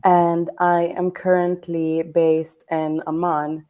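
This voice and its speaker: Young woman on a podcast, low flat voice, thoughtful, lots of ums. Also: clean tone, dull audio. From Iraq, grew up in Sweden. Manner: measured, thoughtful, with frequent ums. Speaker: journalist guest. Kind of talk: casual podcast chat.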